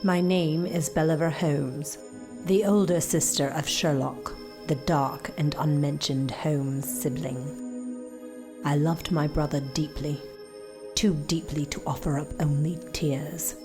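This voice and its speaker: An actress playing a vengeful Victorian lady detective in a radio drama, speaking in a grave, deliberate, menacing way. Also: low-pitched, steady tone, over music. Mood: brooding, vengeful. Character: Sherlock Holmes's dark, vengeful older sister, brooding Victorian detective.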